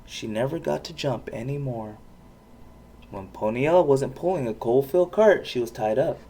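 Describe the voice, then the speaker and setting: A parent reading a children's storybook aloud with expressive narration. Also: mid-range voice, normal speed, slight background noise.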